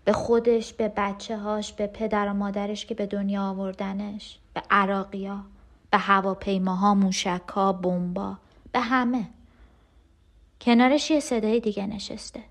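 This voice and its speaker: An actress playing a frightened, tender and clinging twin sister in an emotional audio drama monologue. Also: female voice, medium pitch, fairly even intonation, natural voice. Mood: hushed, wistful. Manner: intimate, emotional, pleading.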